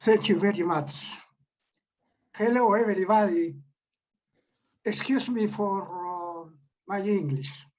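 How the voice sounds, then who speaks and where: Slow, emphatic Peruvian-accented man. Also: high voice, a little mellow, lo-fi sound. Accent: Peruvian Spanish. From Peru. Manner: deliberate, emphatic, reads out declarations. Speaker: conference presenter. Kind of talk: formal prepared presentation.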